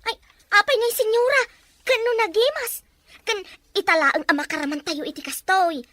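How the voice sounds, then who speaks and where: A woman playing an excitable, sweet young woman who gushes and exclaims in a melodramatic audio drama. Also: very high voice, moderate pace, no room sound. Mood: chatty, eager. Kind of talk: melodramatic radio-style drama acting.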